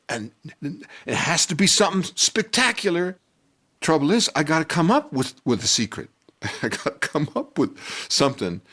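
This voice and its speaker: An older man telling a personal story from his youth in a conversational, halting and animated way. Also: mid-range voice. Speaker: storyteller telling his own childhood memory. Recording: music bed under the voice. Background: music.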